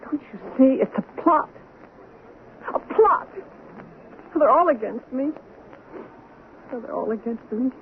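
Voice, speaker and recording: An actress in a 1970s radio drama playing a bitter, defensive, hard-drinking wife with a melodramatic manner. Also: female voice, high-pitched, animated, heavily acted. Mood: bitter, desperate. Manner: halting, melodramatic. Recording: tinny narrow-band 1970s radio recording, noisy.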